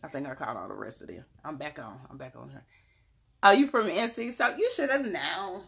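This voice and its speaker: A woman's voice: low voice, animated, fairly quick, gravelly, a bit bright. A woman from North Carolina with a Southern accent, giving blunt, emphatic motivational talk and answering chat messages on a casual livestream. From Charlotte, North Carolina. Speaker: livestream host and tarot reader.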